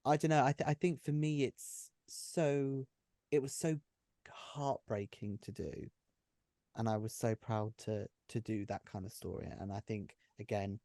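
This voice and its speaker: A man's voice: on the low side, fairly even intonation, measured pace, mellow, dry recording, clean studio sound. A man who writes and runs an audio drama, chatting casually and effusively, often repeating his phrases. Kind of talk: casual behind-the-scenes chat.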